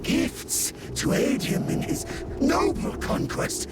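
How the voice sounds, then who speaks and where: Creaky, high-pitched scheming villain. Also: male voice, very animated, slow pace, a little hiss or hum, heavily acted. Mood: wheedling. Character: pleading scheming villain offering gifts of power. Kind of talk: video game villain acting.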